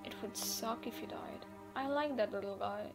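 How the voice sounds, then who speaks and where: A woman's voice: mid-range voice, wildly swooping pitch, moderate pace, vocal fry. A woman acting an easygoing, teasing gamer captive in an audio roleplay, speaking in a playful, nonchalant, conversational way. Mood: hesitant. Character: easygoing, teasing female gamer held captive, unbothered adult. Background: background music.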